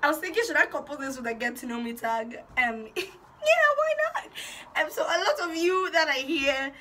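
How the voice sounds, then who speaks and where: A young woman from Ghana talks casually to her camera. Her voice is high and bright, and she is giggly and animated. The homemade bedroom recording carries faint background noise. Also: normal speed. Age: young adult. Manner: bubbly, giggly, animated, gesturing. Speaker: YouTube vlogger. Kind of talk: casual chatty intro vlog. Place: small bedroom, indoors.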